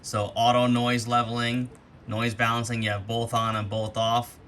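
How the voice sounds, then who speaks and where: A man's voice: mid-range voice, completely flat delivery, normal speed, fairly bright tone. A man hosting a casual, hands-on product walkthrough, explaining things informally as he goes. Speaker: host demonstrating radio gear. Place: attic, with rain outside. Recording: background noise, rainy attic ambience.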